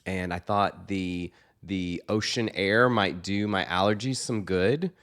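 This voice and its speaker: A man's voice: deep voice, slow pace, a bit bright. A middle-aged man co-hosting a podcast, chatting in an easygoing, friendly way. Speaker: podcast co-host. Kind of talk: casual chat.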